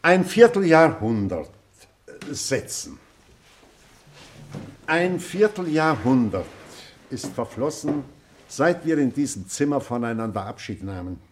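Old retired teacher, real slow n stern, formal speechifying, radio drama. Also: male voice, mid-range voice, sing-song, slight background noise. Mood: formal, oratorical. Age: older. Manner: measured, oratorical, authoritative. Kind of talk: radio play acting, speech to a class.

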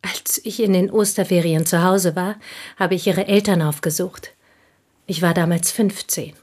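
An actress playing a bitter, proud, unmarried village woman in a radio play, speaking deliberately and with scornful intensity in a husky voice. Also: on the low side, steady tone, a little mellow. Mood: proud, insistent. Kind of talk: German radio play acting.

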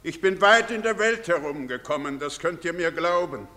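Pompous lecturing professor,, piercing bright tone. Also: male voice, fairly high pitch, lively intonation, moderate pace. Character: boastful well-travelled professor, pompous. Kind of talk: radio play acting. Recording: long reverberant studio echo.

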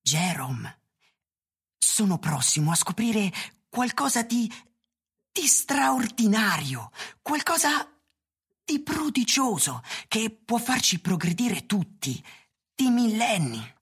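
A woman voicing a small, gentle Martian philosopher in a radio play, slow and sibilant, not quite human. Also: fairly high pitch, big pitch swings, slightly rough, pitched above the performer's own voice, heavily acted. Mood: earnest, slow. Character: small furry mild-eyed Martian philosopher, gentle old friend.

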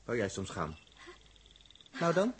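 A man with a Netherlands Dutch accent playing an irritable but protective spaceship electronics man in a 1960s radio drama, gruff, colloquial and impatient. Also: on the low side, wildly swooping pitch, slow, a little hiss or hum, full character voice. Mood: brisk, gruff.

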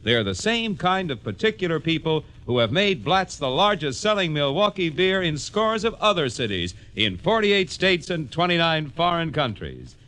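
Animated male vintage commercial announcer. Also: normal speed, few pauses. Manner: polished sales pitch. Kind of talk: scripted vintage radio advertisement.

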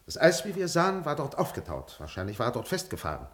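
An actor playing an earnest, long-winded gentleman doctor in a radio play, stiffly polite and easily offended. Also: mid-range voice, wildly swooping pitch, quick pace. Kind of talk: German radio play acting.

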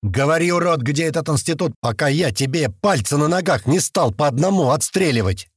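Audiobook heavy: male narrator as a short-tempered fighter, threatening, barking, swinging in pitch. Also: very animated, moderate pace, no room sound, clean studio sound. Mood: furious, threatening. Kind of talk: dramatic audiobook character voice.

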